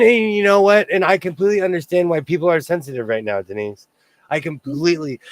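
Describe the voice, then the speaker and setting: Male host, somewhat high voice, rambling self-deprecating chatter. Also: dry recording. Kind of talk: casual chat.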